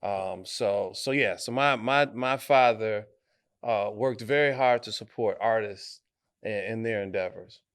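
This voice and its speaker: A man from Detroit, a guest artist, answering interview questions in a thoughtful, halting way, with many fillers and restarts. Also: on the low side, a bit bright, clear, resonant tone. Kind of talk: conversational interview.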